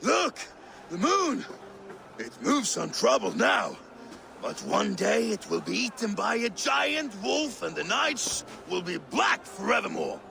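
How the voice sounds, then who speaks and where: An actor playing a drunken Viking warrior in a video game, gravelly, rambling and declaiming, boisterous yet melancholy. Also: male voice, sits high, sing-song, takes it slowly, sharp tone, a little hiss or hum, heavily acted. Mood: drunken musing. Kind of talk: video game dramatic acting.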